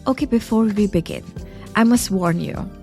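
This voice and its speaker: A woman's voice: mid-range voice, moderate pace, a little mellow, soft background music. A woman hosting a podcast with an effusive, bantering manner, moving between scripted introduction and chatty interviewing. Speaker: podcast host. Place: closet.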